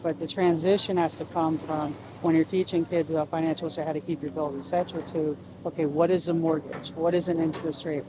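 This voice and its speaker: A woman explains things step by step in a conversational interview, using plain examples. She is recorded outdoors, with clear urban and vehicle noise around her. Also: deep voice, flat delivery, a little fast, hardly any pauses. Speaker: city council member. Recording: noisy outdoor street with vehicles.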